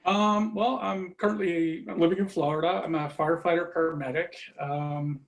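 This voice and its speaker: A man from Norway chatting as a guest on a video-call interview, relaxed and full of fillers, trailing off often. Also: a little high, normal speed, low-quality recording. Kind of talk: casual conversation.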